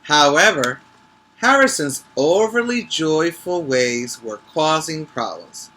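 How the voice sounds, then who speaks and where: A man with a bright, forceful voice reads a children's story at a slow pace, a little high in pitch. He leans on key words and stops to check that young listeners follow, in the instructive way of a teacher. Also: expressive, a little hiss or hum, poor audio. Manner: emphatic, stretching key words. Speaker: preschool teacher reading aloud. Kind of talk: animated picture-book read-aloud with asides to children.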